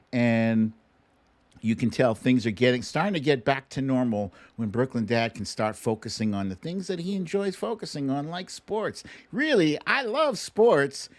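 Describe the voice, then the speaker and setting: Middle-aged American male livestream host, informal and playful, unhurried delivery, mid-pitched voice in a dry studio. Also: no room sound. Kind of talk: casual live chat with viewers. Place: home studio.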